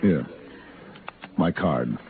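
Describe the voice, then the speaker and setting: An actor playing a cool, self-assured gunfighter in a 1950s Western radio drama, speaking in a measured, polite way. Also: male voice, extremely low pitch, animated, vocal fry, exaggerated voice. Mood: cool, courteous. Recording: old tinny radio recording with music.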